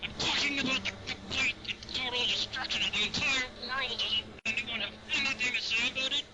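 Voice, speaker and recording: A man's voice: extremely high pitch, fairly even intonation, a little fast, pitched above the performer's own voice. Man acting out a frustrated, bitter, middle-aged teacher, heavy noise. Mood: exasperated, demanding. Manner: exasperated, haranguing, emphatic.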